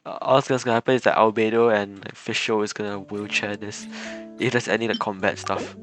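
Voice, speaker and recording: A man's voice: on the low side, level tone, a little fast, fairly bright tone, poor audio. Rambling male game streamer. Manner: rambling, giggly. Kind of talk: casual live gameplay commentary. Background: game music.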